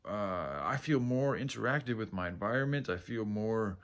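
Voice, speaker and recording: Groggy, low-voiced man rambling casually. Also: expressive, fairly bright tone. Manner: halting, self-correcting, sweary, frequent throat clearing. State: tired. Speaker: vlogger.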